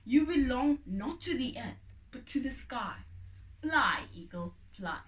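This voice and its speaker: Woman playing a determined, high-pitched storybook man, echoey. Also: very animated, takes it slowly, full character voice. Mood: challenging, declaring. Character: determined male friend of a farmer, certain and challenging. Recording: roomy echo, distant mic, faint noise.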